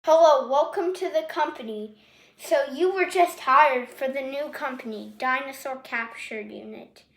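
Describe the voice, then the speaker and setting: A woman playing a scarred staff trainer at a dinosaur capture company, giving halting, improvised orientation in an amateur roleplay. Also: high-pitched. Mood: instructive. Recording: echoey room.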